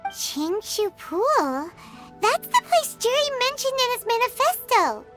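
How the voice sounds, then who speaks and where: A woman voicing a small, excitable childlike fairy companion in a video game, squeaky, eager and naive. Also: slow pace, heavily acted. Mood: excited. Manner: exclamatory, eager. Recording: loud music behind the voice. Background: game music.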